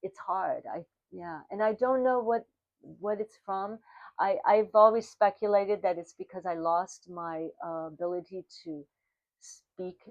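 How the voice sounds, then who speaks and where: An older woman whose first language is Armenian, giving oral history testimony in a reflective, halting way, often restarting her sentences. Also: mid-range voice, no echo. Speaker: interviewee. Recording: dry, dull lo-fi recording.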